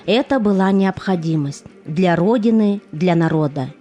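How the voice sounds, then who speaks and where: A woman narrating a scripted documentary drama in a solemn, measured manner. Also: lowish voice, warm tone, faint music behind.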